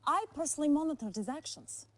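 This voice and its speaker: A woman's voice: high voice, animated, fast talker. An actress playing a cool, calculating female Soviet spymaster in a video game cutscene, composed and sure of herself. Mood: defiant.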